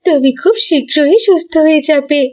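Woman playing young rich girl, high voice, childlike, cartoon acting. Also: normal speed, darkish tone, close to an everyday voice. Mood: worried. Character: haughty rich young girl, later worried and sorry.

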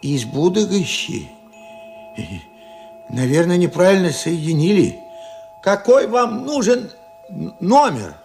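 An actor playing a forgetful, bewildered old grandfather in a radio play, husky and gravelly, muttering and halting as he talks. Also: sits high, sing-song, a little mellow, soft background music, heavily acted. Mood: confused. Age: older. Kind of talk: Soviet radio drama acting.

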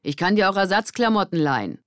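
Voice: medium pitch, sing-song, quick pace, fairly bright tone, dry recording, voice pushed up. Narrator as a friendly teenage boy, dry sound. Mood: helpful.

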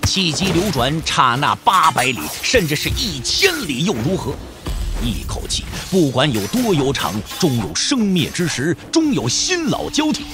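Animated male martial-arts storyteller over music. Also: fairly high pitch. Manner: emphatic, suspenseful storytelling delivery. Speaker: audio drama narrator. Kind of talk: dramatic scripted narration of a martial arts novel. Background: background music.

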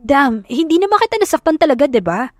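A woman's voice: high-pitched, sing-song, no echo, studio quality, pitched above the performer's own voice. Flustered young Filipino businessman, voiced by a woman. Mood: flustered concern. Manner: hurried, anxious questioning. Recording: music behind the voice.

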